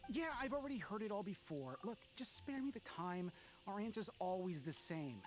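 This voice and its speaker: A man's voice: high-pitched, sing-song, moderate pace, faint music behind. An actor playing a curt, resentful ordinary townsman in a fantasy video game, accusing and scolding. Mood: bitter, dismissive.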